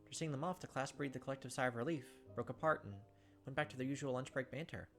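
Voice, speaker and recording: Man reading a game, mid-pitched voice. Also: quick pace, a bit bright, faint music behind. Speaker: gaming video host. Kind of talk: reading visual novel narration with casual commentary.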